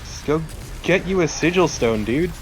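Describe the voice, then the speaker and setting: A man's voice: a little high, expressive, normal speed, rough recording. A man chatting casually as a co-commentator over video game footage. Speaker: let's play co-commentator. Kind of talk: casual chat over gameplay. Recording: game battle noise behind voice.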